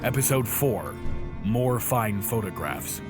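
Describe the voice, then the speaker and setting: A man's voice: fairly low pitch, lively intonation, slow pace, over music. A man announcing titles in a scripted narration style.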